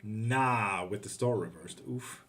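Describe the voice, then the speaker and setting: A man from Greece, hosting a live tarot stream and reading out viewer comments in a loose, profane, chatty way. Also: fairly low pitch. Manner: relaxed and profane, with quick laughs.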